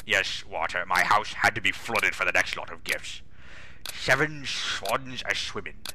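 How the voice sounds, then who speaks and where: A man's voice: low-pitched, expressive, unhurried, sharp tone, full character voice. A man voicing a pompous, exasperated older railway boss with a British accent, grumbling indignantly in a comic dramatic reading.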